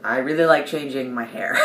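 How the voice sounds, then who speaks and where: Young man, casual vlog, rambling, mid pitch, roomy room echo. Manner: rambling, many ums and restarts, light laughs. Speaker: vlogger. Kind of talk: casual video diary. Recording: roomy echo with faint background hiss.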